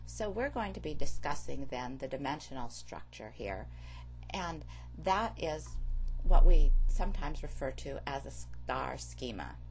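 A woman with a low, deep-set voice lectures through a slide presentation. Her delivery is steady and explanatory, the pace of a course instructor. A faint electrical hum sits under the recording. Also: rough recording. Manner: measured, explanatory. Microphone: voice-over over slides.

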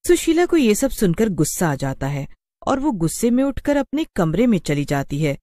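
A woman narrates a scripted story. Her voice sits at a medium pitch and is recorded dry and close, as storytelling for a cartoon. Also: sing-song, moderate pace, dark tone, no echo.

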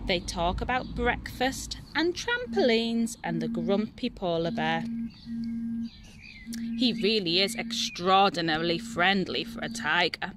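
A woman's voice: mid-range voice, animated, unhurried, noisy background. A young woman narrating a children's storybook expressively, recorded outdoors. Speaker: storybook reader.

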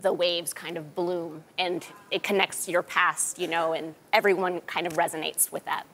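A woman's voice: fairly low pitch, fairly even intonation, normal speed, a bit bright, slight background noise, rough recording. An American woman in her thirties giving enthusiastic, conversational answers as a guest artist in an interview. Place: large TV studio with audience. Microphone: lapel mic. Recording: studio audience faintly behind.

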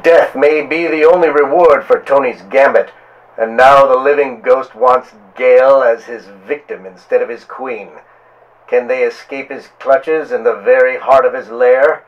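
Slow, melodramatic man narrating pulp horror. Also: medium pitch, fairly even intonation, muffled recording. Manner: melodramatic, drawn-out delivery. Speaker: host and narrator.

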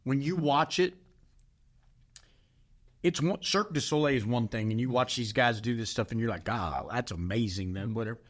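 A man's voice: medium pitch, normal speed. A middle-aged man, a pastor co-hosting a podcast, telling a story in casual chat with emphatic, exasperated asides.

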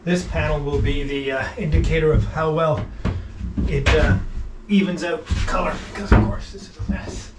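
A middle-aged man casually talking through his hands-on work to the camera, with halting pauses as he goes. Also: fairly high pitch, steady tone, loud music behind the voice. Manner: talks haltingly while working, with pauses. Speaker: vlog host, boat restorer. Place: inside a wooden boat in a shed and at its workbench. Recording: noisy workshop recording with clicks.

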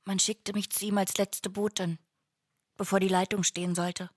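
An actress playing a wistful, earnest messenger from heaven in a radio play, reflective and measured. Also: female voice, medium pitch, level tone, no room sound, studio quality, close to an everyday voice. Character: wistful, earnest dead woman, heavenly messenger longing for love. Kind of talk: radio play acting, narration.

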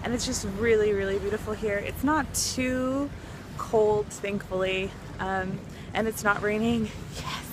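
A woman's voice: medium pitch, fairly even intonation, a little slow. Twenty-something girl vlogging on a beach, camera in hand, chatty, lots of outdoor noise. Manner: chatty, upbeat, self-filming. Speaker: travel vlogger. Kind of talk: casual travel vlog. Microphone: camera held at arm's length.

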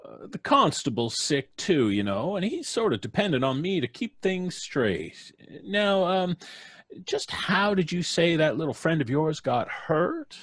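Husky, flustered old country doctor. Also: male voice, fairly high pitch, very animated, measured pace, a bit bright, dry recording, studio quality, full character voice. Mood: fussy, tired. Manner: hesitant, stammering. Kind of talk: old-time radio acting.

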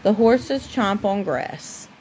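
A woman reading a children's storybook aloud as a mother would at bedtime, with deliberate pauses. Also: mid-range voice, animated, a little mellow, slight background noise.

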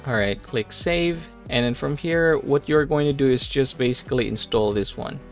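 A man hosting a software tutorial, explaining things step by step in a casual way. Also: medium pitch, moderate pace. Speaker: tutorial host. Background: background music.

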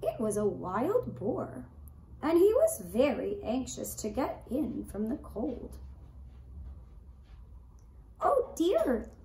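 A woman telling a children's puppet story in a deliberate, expressive way, recorded from a few feet away indoors. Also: on the high side. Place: indoor room set up as puppet stage. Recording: roomy echo, faint background noise.

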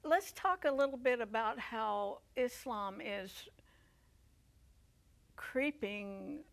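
Older woman, talk show host, animated, leading questions, lapel mic, studio. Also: a little high, slow, vocal fry. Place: TV studio set with living room decor.

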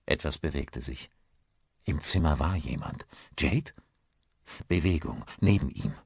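A man's voice: rumbling low, lively intonation, measured pace, vocal fry, husky, no echo. A man reading a suspense novel aloud as a scripted audiobook narration.

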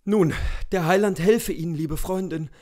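A man voicing an agitated upper-class husband, entreating politely in a hurried way. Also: high-pitched, mellow, lightly acted. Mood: pleading.

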